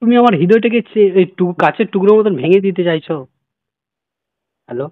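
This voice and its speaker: A man's voice: sits high, fairly quick, dry recording. A man sweet-talking and coaxing on a prank phone call, often checking in with the listener. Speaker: prank caller. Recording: dull lo-fi call recording.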